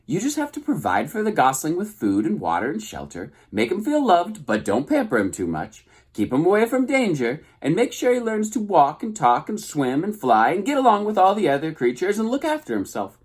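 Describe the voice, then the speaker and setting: A man's voice: sits high, wildly swooping pitch, brisk, hardly any pauses, slightly rough, pitched above the performer's own voice, full character voice. A man reading aloud and voicing a fussy, bossy old female goose who squawks and scolds.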